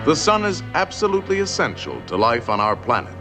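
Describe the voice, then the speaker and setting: Animated male narrator over music. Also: mid-range voice, a little fast. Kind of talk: scripted narration of an animated science film.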